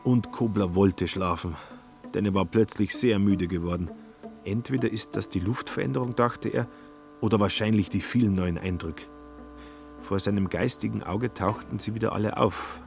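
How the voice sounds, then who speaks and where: A man narrates a literary radio drama in a fairly low voice. The delivery is scripted storytelling, with faint music beneath it. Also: normal speed, gravelly, a little mellow.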